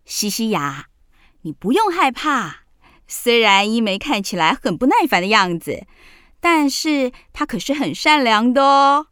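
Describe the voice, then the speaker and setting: A woman's voice: fairly high pitch, very animated, unhurried, fairly bright tone, smooth, no room sound, studio quality. An actress playing a playful, teasing and doting witch aunt in a children's audio drama, with an exuberant manner. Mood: reassuring.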